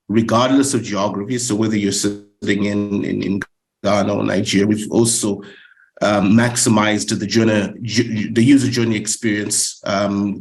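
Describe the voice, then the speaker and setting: A middle-aged man from Zimbabwe giving a webinar talk, with many fillers and repeated words. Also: fairly low pitch. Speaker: guest speaker for a student lender. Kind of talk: webinar presentation.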